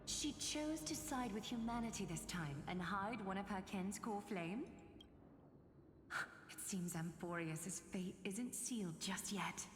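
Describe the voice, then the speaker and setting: A woman's voice: medium pitch, expressive, slow pace, noisy background. A woman playing a composed, defiant noble leader in a fantasy game, speaking with poised formality. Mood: concerned. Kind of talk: fantasy video game voice acting. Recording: echoey hall reverb under game music.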